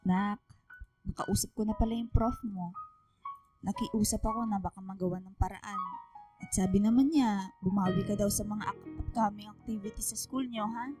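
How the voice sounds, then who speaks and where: A woman's voice: medium pitch, steady tone, normal speed, over music, lightly acted. A woman playing a hurt, scolding mother in a radio drama, with a Filipino accent and an emotional, reproachful delivery. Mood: stern.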